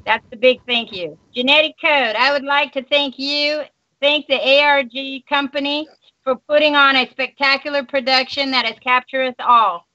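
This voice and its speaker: A woman co-hosting, reading listener messages aloud in a brisk way and sometimes stumbling over words. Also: sits high, fairly even intonation, bright, forceful tone, dry recording, highs cut off.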